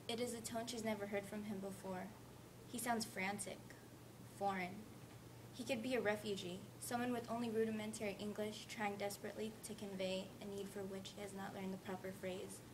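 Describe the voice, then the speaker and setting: Woman, literary read-aloud, steady and careful, over a loud rumbling noise. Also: moderate pace. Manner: careful, steady narration with voiced quotes. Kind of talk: reading a novel excerpt aloud.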